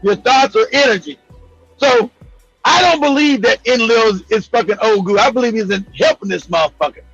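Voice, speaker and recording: A man hosting a casual talk show, speaking in a profane, excitable way and laughing often. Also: sits high, brisk, husky, dry recording, dull audio, faint music behind. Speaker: host.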